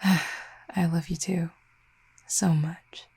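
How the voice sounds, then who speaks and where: A woman playing a tender, anxious young vampire girlfriend in an intimate audio roleplay, soft-spoken and halting, with a breathy, gravelly low voice. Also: flat delivery, full character voice. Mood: tender, hesitant.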